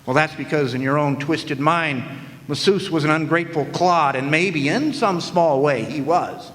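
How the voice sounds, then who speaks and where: A man's voice: fairly high pitch, moderate pace, fairly bright tone, lightly acted. A man playing a wisecracking hard-boiled private detective in a radio play, with a theatrical, sardonic delivery. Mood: accusing, cocky. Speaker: narrator and lead actor in radio play. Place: live radio play in a hall. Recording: live stage, long hall reverb.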